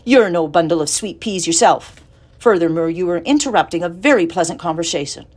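A woman voicing a wise, calm female spider with a gentle, patient, matter-of-fact manner. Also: on the low side, a little fast, slightly rough. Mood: sharp.

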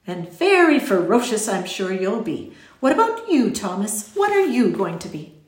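A woman voicing a whimsical, courteous little gentleman in a storybook, with genteel, exclamatory, formal phrasing. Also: mid-range voice, big pitch swings, moderate pace, echoey room, natural voice. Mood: earnest, courteous. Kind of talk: audiobook character voice.

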